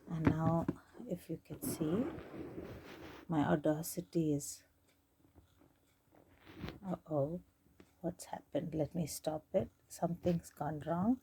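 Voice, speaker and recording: A woman's voice: sits low, noisy background. A woman presenting an informal, unscripted how-to demonstration, hesitant and thinking aloud as she goes step by step. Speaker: tutorial presenter demonstrating tape digitizing.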